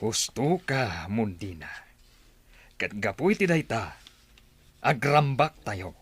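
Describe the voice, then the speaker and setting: An actor with a Filipino accent playing a commanding, righteous warrior chief of a tribe in a radio drama, with a husky voice. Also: male voice, fairly low pitch, sing-song, slow pace, no echo, heavily acted. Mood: solemn, relieved.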